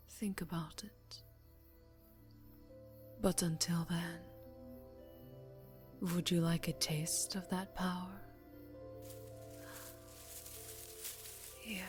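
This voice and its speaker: A woman playing a seductive, aristocratic vampire countess in an ASMR roleplay, teasing and formal, with a breathy voice that often drops to a whisper. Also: lowish voice, darkish tone. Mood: tempting, coaxing. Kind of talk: ASMR roleplay, slow intimate acting. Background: music.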